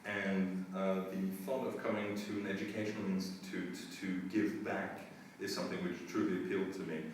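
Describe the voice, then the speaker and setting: Middle-aged American-born administrator, earnest and measured, deep voice, heard from across a roomy space. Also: male voice, flat delivery. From United States. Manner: earnest, measured, with frequent filler pauses. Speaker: school administrator. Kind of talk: interview answers. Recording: roomy echo, distant mic, faint noise.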